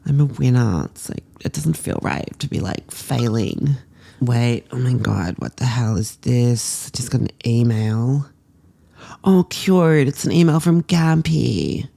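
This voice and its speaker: Woman playing a creaky, low-voiced young Australian drama queen. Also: animated, unhurried, warm tone, exaggerated voice. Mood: complaining, self-pitying. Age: young adult. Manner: gushing, slangy, melodramatic. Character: dramatic, self-absorbed young Australian fashion student podcaster. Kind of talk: confessional solo podcast monologue.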